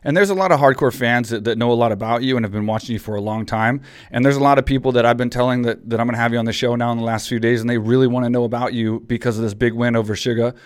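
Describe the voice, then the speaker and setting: A man's voice: mid-range voice, fairly even intonation, very fast, hardly any pauses, clear, resonant tone, dry recording. A man hosting an interview show, laid-back and agreeable, asking easygoing questions. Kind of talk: casual interview.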